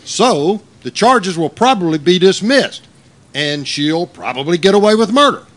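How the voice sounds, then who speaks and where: A man's voice: high-pitched, lively intonation, a little slow, fairly bright tone. A man playing a hapless, officious police captain in a comic old-time radio play. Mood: sheepish.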